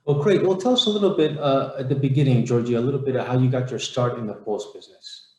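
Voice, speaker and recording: A man's voice: mid-range voice, fast, reverberant, poor audio. A man hosting an interview, reading a prepared introduction and then asking questions plainly.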